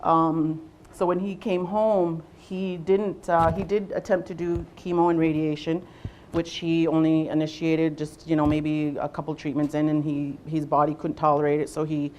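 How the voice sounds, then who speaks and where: A young adult woman from Southwestern Ontario leading a workshop, speaking loudly to a room without a microphone and often pausing on "um" and "uh". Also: low voice, little pitch movement, lo-fi sound, slight background noise. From Southwestern Ontario, Canada. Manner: loud voice, unamplified, frequent ums and uhs. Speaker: workshop facilitator, nurse navigator. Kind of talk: presentation to a workshop audience.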